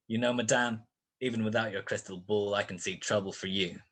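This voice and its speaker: An actor playing a tough, sardonic private detective and narrator in 1940s radio style. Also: male voice, on the low side, steady tone, normal speed, a bit bright. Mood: triumphant. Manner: sardonic, wisecracking.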